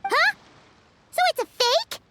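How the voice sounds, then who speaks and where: A woman's voice: very high-pitched, expressive, slow pace, sharp tone, exaggerated voice. A woman voicing a small, cheeky, childlike fairy girl in a video game, blunt and chirpy. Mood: surprised, cheeky.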